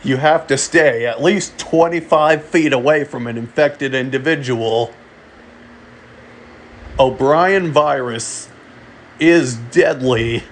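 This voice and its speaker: A man delivering a mock emergency alert in a halting way, often repeating and restarting his phrases. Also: medium pitch, slight background noise. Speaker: amateur narrator of a fictional emergency broadcast. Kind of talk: mock emergency alert announcement, semi-improvised.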